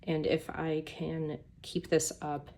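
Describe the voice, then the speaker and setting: A woman with a hoarse, gravelly voice chatting casually at her desk about her planners, rambling through self-deprecating asides. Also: low voice, monotone, slow pace, mellow, a little hiss or hum. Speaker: planner YouTuber. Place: home desk by a sunny window.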